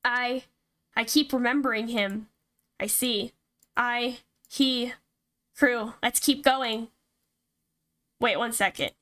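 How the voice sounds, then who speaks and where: A woman's voice: a little high, takes it slowly, long pauses, fairly bright tone. A woman streamer reading visual novel text aloud and reacting casually, with swearing. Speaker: VTuber game streamer. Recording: music behind the voice. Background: game music.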